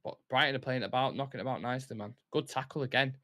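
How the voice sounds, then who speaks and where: A man's voice: mid-range voice, fairly even intonation, fast talker, fairly bright tone. A young man with a Mancunian accent hosting a live football watch-along, chatting and commentating casually in a blunt, sweary, opinionated way.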